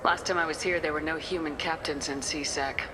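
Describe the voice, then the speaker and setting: A woman's voice: deep voice, steady tone, a little fast, music in the background, lightly acted, poor audio. A woman playing a composed, no-nonsense military commander in a video game, speaking directly and dryly. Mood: businesslike. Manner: direct, dry, probing. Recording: clearly audible ambient noise.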